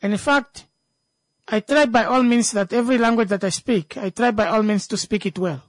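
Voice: medium pitch, normal speed. This is a speaker with a Namibian accent and a native local language, in an unscripted discussion. The delivery is emphatic, with phrases repeated for stress, and the sound is dry with no room echo.

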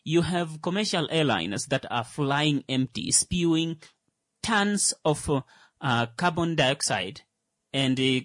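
A man co-hosting a casual talk show, explaining slowly with many fillers and restarts. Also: on the high side, lively intonation, fairly bright tone, no room sound, clean studio sound. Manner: hesitant, full of "uh" and restarts. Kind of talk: casual panel discussion.

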